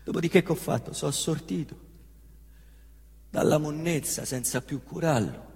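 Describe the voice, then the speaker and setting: A brash young killer from the Roman outskirts, talking in swaggering slang with a thick Roman accent. His voice sits high and flips from defiant bursts to low mutters. A long theatre reverb trails behind every phrase. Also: moderate pace, vocal fry, darkish tone. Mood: aggrieved. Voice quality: slangy, swaggering street talk. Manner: swings between defiant outbursts and low muttering. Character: young male Roman slum youth, cocky killer. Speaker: stage actor in a monologue. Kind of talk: theatrical monologue. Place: theatre stage.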